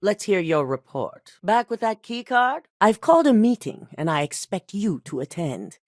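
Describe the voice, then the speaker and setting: An actress voicing a cool, calculating female corporate executive in a game, speaking in a composed, measured, authoritative way. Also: medium pitch, big pitch swings, darkish tone, dry recording, studio quality. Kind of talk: game voice acting.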